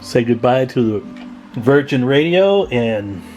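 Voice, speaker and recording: A man's voice: medium pitch, lively intonation, takes it slowly, music in the background. A man hosting a radio repair video, explaining hands-on work in a casual, folksy way and chatting informally to viewers.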